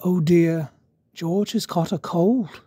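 Slow, theatrical children's storybook narrator. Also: mid-range voice, slightly rough, dry recording. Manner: expressive, theatrical. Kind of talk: dramatic children's read-aloud.

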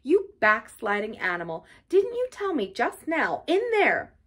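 Woman voicing a solemn, formal gentleman badger. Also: mid-range voice, expressive, moderate pace. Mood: scandalized. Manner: grave, formal and oratorical. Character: solemn male badger, stern, kindly, eloquent leader.

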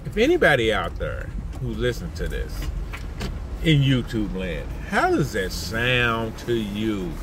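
A man's voice: measured pace, noisy background. An older man, ranting animatedly into a phone camera from his parked car and repeating his points. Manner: animated, indignant, repeats himself, jumps up in pitch when worked up. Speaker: vlogger. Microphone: phone camera at arm's length.

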